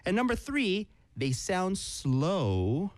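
A man co-hosting a live stream whose pitch jumps all over as he talks, speaking with a slow, unhurried pace. He switches between Korean and carefully spaced English, calling out to his viewers. The sound is dry and close to the mic. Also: dry recording. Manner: animated, calls out to viewers, slow clear English explanations. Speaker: co-host teaching English.

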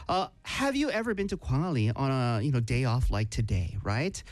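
Animated bilingual co-host with a lively voice. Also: male voice, mid-range voice, dry recording. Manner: animated, calls out to viewers, slow clear English explanations. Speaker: co-host teaching English. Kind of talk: live-stream chat, switching into English.

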